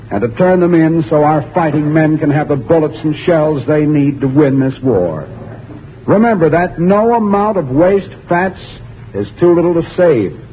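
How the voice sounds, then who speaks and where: A man announcing in polished 1940s radio style, reading a scripted appeal with earnest emphasis. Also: on the high side. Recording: muffled 1940s radio, faint noise.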